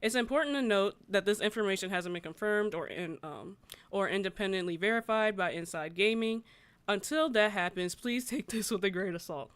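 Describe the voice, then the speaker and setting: A woman talks casually as a gaming livestream host, moving between reading out news and conversational remarks to her viewers. Her voice is smooth and clean at a medium pitch. The sound is dry, with very little room in it. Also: a little fast, fairly bright tone, no echo. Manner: informal, conversational, chatting with viewers. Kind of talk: casual livestream chat, reading articles aloud and reacting.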